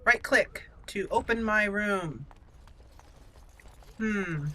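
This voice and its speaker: A woman's voice: mid-range voice, extremely slow, sharp tone. A woman hosting a let's-play video, commenting casually and talking to herself and the game characters as she plays. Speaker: gaming video host. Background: game music.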